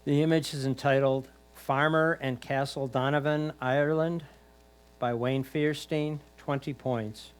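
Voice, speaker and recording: A man's voice: mid-range voice, steady tone, measured pace, clear, resonant tone, soft background music. A man reading out titles and scores as a competition announcer, in a formal announcing style.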